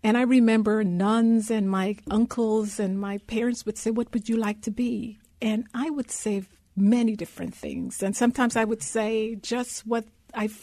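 Middle-aged female interview guest from Guyana, soft warm tone, dry close sound, deliberate storyteller. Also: on the high side, steady tone, dry recording. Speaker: interview guest, consulting executive.

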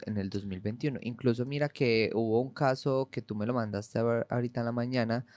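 Man, podcast co-host, mid pitch, chatty and hesitant, dry close mic. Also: clear, resonant tone, dry recording. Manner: chatty, filler-laden. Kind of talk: casual conversation.

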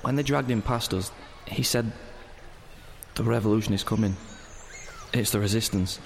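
A man's voice: fairly low pitch, normal speed, gravelly, slight background noise. An actor playing a frank, exasperated teenage boy in an audio drama, blunt and sardonic. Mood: urgent. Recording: long reverb, faint outdoor ambience.

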